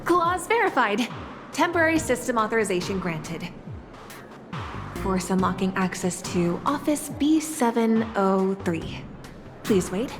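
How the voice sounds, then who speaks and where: An actress voicing a young female office clerk in a video game, who speaks officiously. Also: on the high side. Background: game music.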